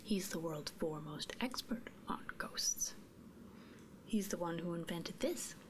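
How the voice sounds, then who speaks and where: A woman performing an ASMR roleplay in a soft, breathy whisper, gently inquisitive and murmuring, close to the microphone. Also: lowish voice, expressive, unhurried. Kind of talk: whispered ASMR roleplay as a ghost removal technician.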